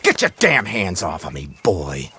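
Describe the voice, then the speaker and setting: An actor playing a stern, haughty American detective in a video game, formal, condescending and menacing. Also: male voice, low voice, wildly swooping pitch, a little slow, sharp tone, breathy edge, exaggerated voice. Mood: furious. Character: stern, haughty Pinkerton detective hunting outlaws. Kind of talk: dramatic video game acting.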